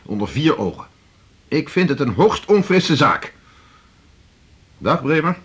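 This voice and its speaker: An actor with a Netherlands Dutch accent playing a stern, condescending senior doctor, formal and pompous, in a radio drama. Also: male voice, on the high side, sing-song, measured pace. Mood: indignant, superior. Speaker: supporting actor. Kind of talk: 1980s radio drama acting.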